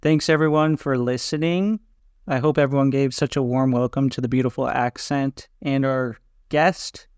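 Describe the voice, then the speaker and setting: Male podcast host, mid-pitched, smooth clear voice, upbeat scripted delivery, dry close mic. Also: fairly even intonation, normal speed, a little mellow, no room sound. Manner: upbeat, scripted questions and thanks. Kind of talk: podcast interview hosting.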